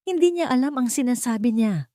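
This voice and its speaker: Woman acting a high, shrill, scheming angry daughter-in-law. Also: lively intonation, a little fast, dark tone, dry recording, studio quality. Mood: indignant, angry.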